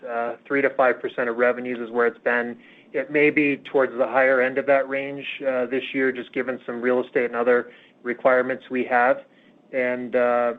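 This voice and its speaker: A man speaking as a finance executive, answering questions on an earnings call in a measured, hesitant way with pauses. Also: mid-range voice. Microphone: phone conference call. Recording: tinny, narrowband conference phone line.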